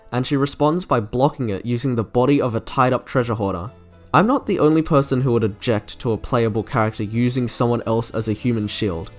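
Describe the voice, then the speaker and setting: Man narrating a review over music. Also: medium pitch. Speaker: video essay host ranking game trailers.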